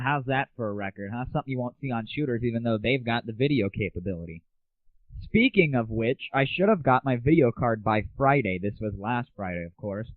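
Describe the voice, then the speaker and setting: A man's voice: mid-range voice, no echo. A man hosting an internet audio show, delivering a crude, sarcastic and casual comic rant. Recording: narrow, tinny lo-fi internet audio.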